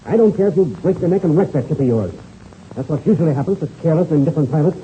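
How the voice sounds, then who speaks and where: An actor in an old-time radio serial playing a stern, principled race pilot and leader, firm and lecturing in manner. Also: male voice, on the high side, expressive, very fast, close to an everyday voice. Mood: stern, scolding. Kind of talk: 1930s radio serial acting. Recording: muffled old radio recording, faint noise.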